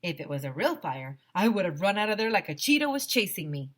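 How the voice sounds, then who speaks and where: Expressive woman reading aloud, very lively pitch. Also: quick pace. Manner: expressive, dramatic, addresses young listeners. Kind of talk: animated children's story read-aloud.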